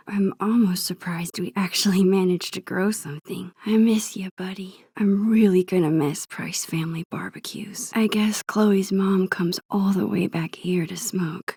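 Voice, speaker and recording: A woman playing a shy, wistful teenage girl in a video game, musing to herself in a soft, whispery voice. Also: on the low side, breathy edge, dry recording, studio quality. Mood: reflective. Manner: gentle, musing, talking to herself. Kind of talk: introspective game character monologue.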